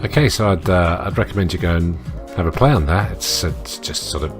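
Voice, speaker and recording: A man's voice: low-pitched, animated, a little fast. A man giving casual let's-play commentary as a gaming host, in a laid-back way. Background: game music.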